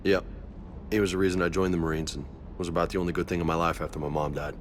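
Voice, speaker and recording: A man's voice: low-pitched, little pitch movement, racing, a little hiss or hum, close to an everyday voice. A man playing a cocky, easygoing young marine in a science fiction video game, casual and slangy. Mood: relaxed.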